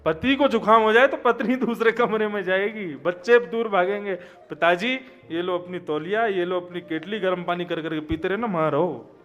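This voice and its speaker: A young male preacher with a high, bright voice delivers a devotional sermon. He tells stories in a lively way, dropping low and soft before rising to loud, emphatic calls. Faint instrumental music plays softly behind him. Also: moderate pace, highs cut off. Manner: storytelling, swings from quiet to loud emphatic exclamations. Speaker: Bhagavat katha preacher. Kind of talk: devotional sermon with chanted Sanskrit verses.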